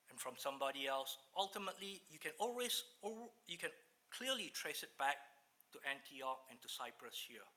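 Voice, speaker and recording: A man from Malaysia with a Malaysian English accent, preaching a sermon and reading Bible verses aloud in an earnest, halting way. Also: a little high, sharp tone. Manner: earnest, halting, restarts mid-phrase.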